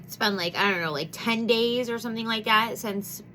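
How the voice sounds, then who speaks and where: A woman's voice: fairly high pitch, normal speed, bright, forceful tone, slight background noise. A woman in her thirties chatting as a beauty vlogger, bubbly and rambling, with a congested, nasal voice. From Michigan. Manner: bubbly, gushing, rambling with many fillers. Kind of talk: chatty makeup vlog intro.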